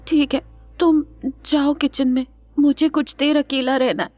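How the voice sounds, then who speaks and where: A woman's voice: high voice, level tone, unhurried, darkish tone, faint music behind. A woman playing a hurt, proud young wife in an audio drama, speaking earnestly and with emotion. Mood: subdued. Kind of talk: Hindi audio drama acting.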